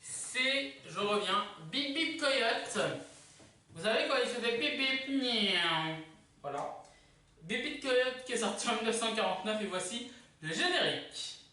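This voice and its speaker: A presenter casually hosting a countdown video, chatty and halting, with self-corrections. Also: medium pitch, lively intonation, bright, forceful tone, slight background noise. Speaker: host of a countdown video channel. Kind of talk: casual presenting of a ranking list to camera. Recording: echoey room, distant mic.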